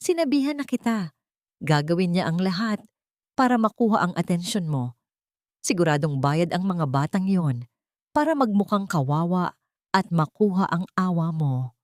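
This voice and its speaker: A woman's voice: on the low side, expressive, moderate pace, pauses often, no echo, studio quality, lightly acted. A woman storyteller voicing a scheming rich mother, scornful and commanding. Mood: reproachful.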